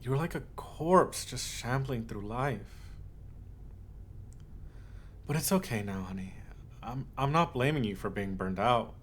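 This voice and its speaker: A man's voice: medium pitch, animated, fairly bright tone, slight background noise. Man playing a tender, obsessive lovestruck yandere. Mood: soothing, possessive. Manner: tender, doting, halting, earnest. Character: obsessive lovestruck male yandere voice actor, soft and possessive. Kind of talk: intimate ASMR roleplay.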